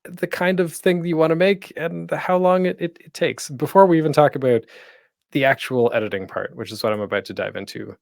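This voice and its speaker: A man's voice: on the high side, fairly quick, gravelly, no echo, studio quality. A man presenting an informal online panel as a podcast host, speaking in a conversational, encouraging way with self-deprecating humor.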